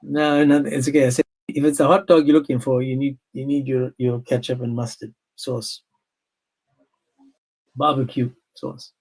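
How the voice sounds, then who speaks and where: A man's voice: medium pitch, little pitch movement, moderate pace, darkish tone, husky, rough recording. A man hosting a livestream debate, goading his guest with pointed questions. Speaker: debate stream host.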